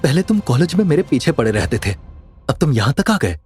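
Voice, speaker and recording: A man's voice: fairly high pitch, big pitch swings, a little fast, a little mellow, slightly rough, voice pushed up, full character voice. A man voicing an anxious, emotional young woman with a nervous, pleading manner in an audio drama. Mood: angry, alarmed. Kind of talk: Hindi audio drama dialogue. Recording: music under the voice.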